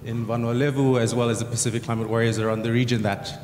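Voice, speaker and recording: A man's voice: medium pitch, level tone, fast, a little mellow. A man speaking as a panelist at a conference, hesitant with many uhs and restarts. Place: large conference hall. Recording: echoey hall reverb, faint noise.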